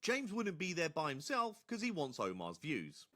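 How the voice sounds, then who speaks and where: A man's voice: fairly high pitch, wildly swooping pitch, normal speed, sharp tone. A man giving explanatory debunking commentary, laying out facts in a careful, emphatic way. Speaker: debunking video creator.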